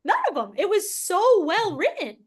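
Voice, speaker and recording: High-voiced woman, enthusiastic casual interviewer. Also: sing-song, normal speed. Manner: enthusiastic, informal, curious. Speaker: interview host. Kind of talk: casual chat.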